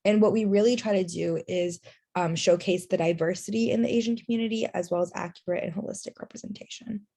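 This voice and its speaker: A young woman presenting to a workshop over a video call, earnest and full of small fillers. Her mid-range voice carries a gravelly fry at the ends of phrases. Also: medium pitch, steady tone, quick pace, mellow. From Taiwan and China. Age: young adult.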